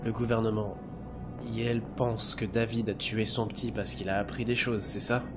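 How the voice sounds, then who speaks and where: A man's voice: lowish voice, little pitch movement, normal speed, close to an everyday voice. A man playing a polite, resigned android caretaker in an audio drama, speaking formally and patiently. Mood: firm, resolute. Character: polite, resigned male android governess and student. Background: music.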